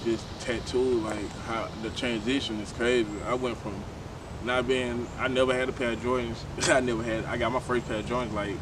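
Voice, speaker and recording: A man's voice: medium pitch, flat delivery, normal speed, recorded from a distance, noisy background. A man, talking loosely in a casual interview and often restarting his phrases. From Shreveport, Louisiana, USA. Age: 20s. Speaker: guest, tattoo artist.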